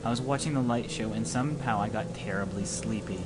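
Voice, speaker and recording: A man's voice: on the low side, fairly quick. A man co-hosting an amateur let's-play, reading visual novel narration aloud and adding joking asides. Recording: noisy home recording.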